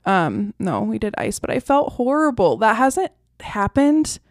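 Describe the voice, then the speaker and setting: A woman's voice: a little high, very animated, a little slow, no room sound, clean studio sound. A woman co-hosting a podcast, chatting casually and telling stories informally with quoted asides. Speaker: podcast co-host.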